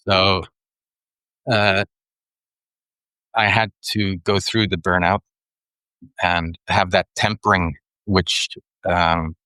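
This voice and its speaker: Low bright-voiced Swedish man, reflective. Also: no room sound, poor audio. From Sweden. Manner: thoughtful, unhurried, with frequent pauses and restarts. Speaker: guest. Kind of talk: reflective interview conversation.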